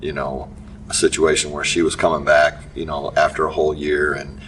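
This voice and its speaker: A middle-aged man, a basketball coach giving a halting post-game interview full of restarts and fillers. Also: rumbling low, flat delivery, a bit bright. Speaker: high school basketball coach. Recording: distant mic with faint background noise.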